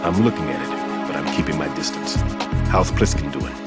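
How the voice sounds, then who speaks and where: An actor playing a grave, self-blaming, aging bomb disposal expert in a dramatic video game. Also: male voice, extremely low pitch, moderate pace, loud music behind the voice. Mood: measured, wary.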